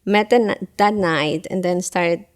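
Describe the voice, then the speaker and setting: A middle-aged woman from Ecuador, talking as an interview guest in a thoughtful way with many pauses and fillers. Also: lowish voice. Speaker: guest, school event coordinator. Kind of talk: casual interview talk.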